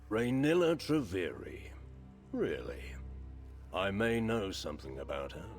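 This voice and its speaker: A man's voice: deep voice, very animated, a little slow, full character voice. A man playing a chatty, slyly menacing crime lord in a fantasy video game, with an insinuating, falsely courteous manner. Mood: sly, bargaining. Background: background music.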